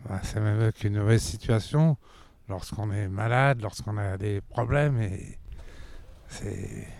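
A man in his seventies answers an interviewer in casual speech. His voice is fairly low and full of gravelly creak, captured in a dry sound. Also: slow pace, darkish tone, dry recording. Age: 70s. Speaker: street interviewee.

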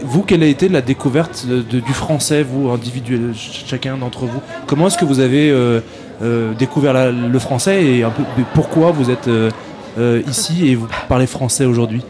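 A man's voice: medium pitch, normal speed. A man hosting an interview asks his questions in a mid-range voice. He rambles and restarts often before reaching the point.

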